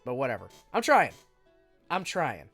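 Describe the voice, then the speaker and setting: A man's voice: medium pitch, a little slow, breathy edge, no echo. Man, game streamer, stuffy nose, slight cough, rambling, self-mocking, faint music underneath. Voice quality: self-described monotone voice. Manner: rambling, self-deprecating, trails off quietly. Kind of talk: casual stream chat.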